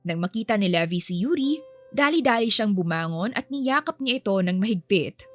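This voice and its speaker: A woman's voice: medium pitch, animated, normal speed. Filipino woman narrating a romance story, music behind.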